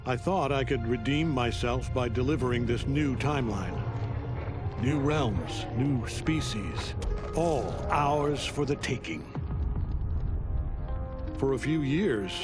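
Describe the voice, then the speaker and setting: An actor playing a stern, loyal warrior of an alien empire, narrating a game cutscene in a grave, measured way. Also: male voice, medium pitch, close to an everyday voice. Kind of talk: dramatic scripted cutscene narration. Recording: music behind voice.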